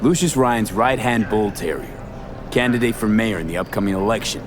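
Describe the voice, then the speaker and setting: A man's voice: fairly low pitch, expressive, brisk, background noise, lightly acted. A man playing a tough cyberpunk mercenary in a video game, asking questions in a clipped, probing way. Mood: cool, probing.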